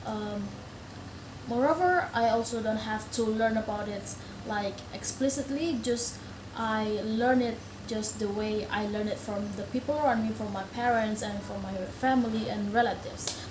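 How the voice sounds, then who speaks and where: A woman's voice: a little high, moderate pace. Hesitant young Indonesian woman presenting. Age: young adult. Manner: hesitant, many fillers and restarts. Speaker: student. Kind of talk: recorded class presentation. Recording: echoey room with background noise.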